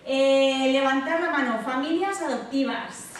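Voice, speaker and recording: A woman's voice: high-pitched, measured pace, over music. A woman with an accent from Spain giving an informal, conversational public talk as a guest speaker. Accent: Spain Spanish. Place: echoey indoor hall at a public talk. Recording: very echoey hall, distant mic, background noise.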